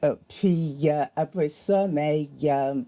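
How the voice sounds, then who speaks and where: A woman hosting a conversational interview, halting as she searches for words. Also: deep voice, warm tone. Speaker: interview host.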